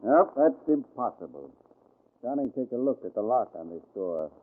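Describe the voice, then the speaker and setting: An actor playing a confident, dismissive police inspector, assertive and matter-of-fact, in an old-time radio crime drama. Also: male voice, mid-range voice, animated, normal speed, close to an everyday voice. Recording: muffled, narrow-band vintage radio recording.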